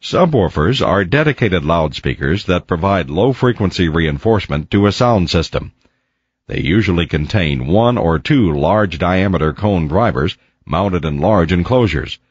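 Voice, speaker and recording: Deep-voiced male narrator, slightly dull recording. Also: expressive, slightly rough, no echo. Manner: measured, precise, didactic. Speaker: instructional video narrator. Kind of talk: scripted educational narration. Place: close, dry voice-over recording.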